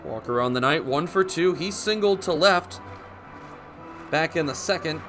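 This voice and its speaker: A man's voice: fairly high pitch, moderate pace, soft background music. A young man calling baseball play-by-play for radio, speaking in short clipped phrases as live commentary. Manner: narrates action in short clipped phrases, building to excited calls.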